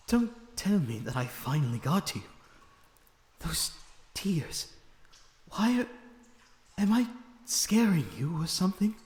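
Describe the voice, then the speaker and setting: A man playing a proud, wronged vampire prisoner in an audio roleplay, pleading bitterly in formal, theatrical phrases with halting pauses. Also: a little high, a little mellow. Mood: startled, pleading. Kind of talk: dramatic audio roleplay monologue, addressed to listener. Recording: echoey dungeon-like reverb, audible background noise.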